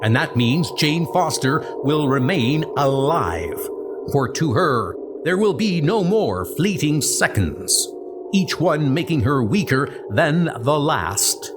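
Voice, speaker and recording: A man's voice: a little high, big pitch swings, slow. A man voicing a mighty, noble thunder god hero in a comic book dub, speaking in grave, archaic, formal phrases. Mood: solemn resolve. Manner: grandiose, archaic formal speech. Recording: music under the voice.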